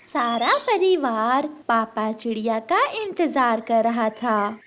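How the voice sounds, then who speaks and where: A woman's voice: fairly high pitch, animated, slow pace, fairly bright tone, a little hiss or hum. A woman narrating a scripted cartoon story.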